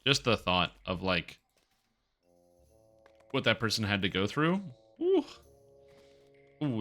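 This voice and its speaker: A low-pitched man with a bright, forward voice that sounds stuffed up and nasal. He rambles casually to himself and his viewers while playing on a live stream. He is close on the mic, with faint music behind him. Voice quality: congested, nasal. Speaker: video game streamer. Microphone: close microphone.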